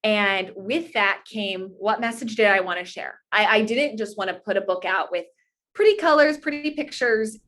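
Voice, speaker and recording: A woman's voice: medium pitch, normal speed, fairly bright tone. A young American woman giving conversational interview answers as a podcast guest. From Indiana, United States. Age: young adult. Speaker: podcast guest, author and pageant titleholder.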